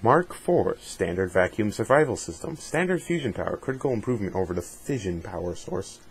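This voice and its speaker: Low, slightly husky man reading aloud. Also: very animated, fairly quick. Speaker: reader of a fan dramatic reading. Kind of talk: dramatic reading with casual asides.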